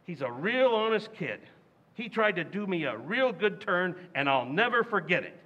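A man's voice: high voice, normal speed, dull audio. An actor playing a blustering, scheming working-class father in a recreated old-time radio comedy. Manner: blustery, excitable.

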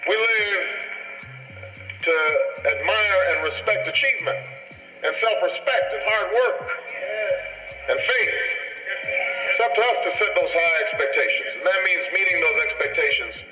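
A man giving a rousing speech, emphatic, with deliberate pauses and repetition. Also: high-pitched, lively intonation, sharp tone, faint music behind. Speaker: public speaker. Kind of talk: rousing political-style speech. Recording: echoey hall speech through small speaker.

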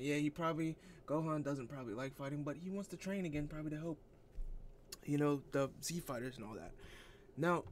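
A man's voice: mid-range voice, a little hiss or hum. A man giving casual opinion commentary as an online anime fan, in an argumentative, exasperated manner.

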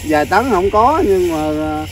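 Southern vietnamese guy w high voice chatting casually, stop-start and repeats stuff, noticeable rustly background noise. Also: animated, unhurried, poor audio. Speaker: local fruit farm seller. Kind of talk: casual outdoor conversation. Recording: clear rustling ambient noise.

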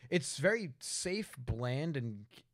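A man's voice: mid-range voice, sing-song, takes it slowly, no echo, studio quality. Blunt man in casual chat. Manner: blunt, opinionated, heavy swearing. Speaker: podcast co-host. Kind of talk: casual film discussion.

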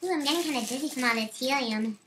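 Squeaky, high-pitched helium-voiced teenage girl. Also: fast, a bit bright. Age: teens. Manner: giggly, giddy, playful. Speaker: vlogger. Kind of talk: casual excited vlog chat. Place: small bedroom, indoors. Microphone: camera a short distance away. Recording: faint noise, home camera audio.

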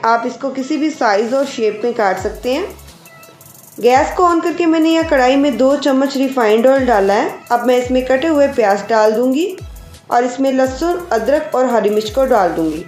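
A woman hosting a cooking channel, calmly explaining each step of a recipe to her viewers. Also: high voice, roomy sound. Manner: calm, explanatory, addresses viewers directly. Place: home kitchen.

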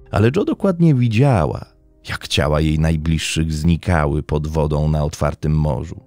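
A man narrating a scripted true crime story as podcast host, in a dramatic, suspenseful style with deliberate phrasing. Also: low-pitched, dark tone, dry recording.

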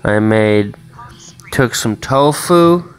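A man's voice: medium pitch, animated, very slow, soft background music. A man rambling casually and swearing often in a home vlog, filmed on a handheld phone in a small room. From Chicago area. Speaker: vlogger. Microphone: phone camera held in hand.

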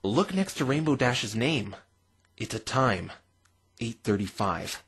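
A man's voice: medium pitch, expressive, takes it slowly, heavy background noise. A man playing an easily flustered, exasperated young lawyer in a cartoon, with a dry, stammering manner. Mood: thoughtful, dry.

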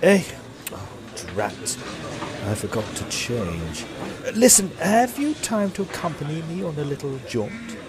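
An actor with a British accent playing a peevish, smitten Edwardian gentleman ghost hunter, narrating formally in an audio drama. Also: fairly high pitch, big pitch swings, measured pace, crowd noise. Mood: flustered, peeved. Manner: formal, stiffly proper, fussy.

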